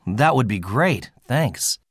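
A man voicing students and a professor in scripted dialogues for a listening exam, with a clear, friendly delivery. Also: mid-range voice, animated, takes it slowly, dry recording, studio quality.

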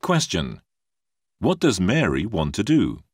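Guy calling out test question numbers, lowish voice, dry sound. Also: wildly swooping pitch, takes it slowly, dry recording. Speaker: test narrator. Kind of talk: scripted announcements.

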